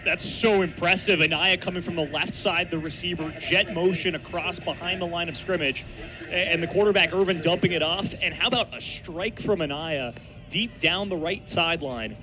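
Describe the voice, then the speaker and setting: A man's voice: high voice, moderate pace, music in the background. A man giving conversational, opinionated live football analysis as a radio color commentator. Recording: outdoor stadium ambience, clear background noise. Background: stadium noise.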